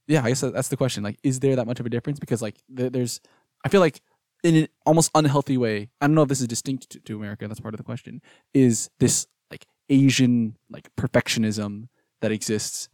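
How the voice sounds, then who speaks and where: A man's voice: medium pitch, steady tone, fairly quick, darkish tone, no echo, studio quality. A young man hosting a casual podcast, asking questions hesitantly with many restarts. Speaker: podcast host.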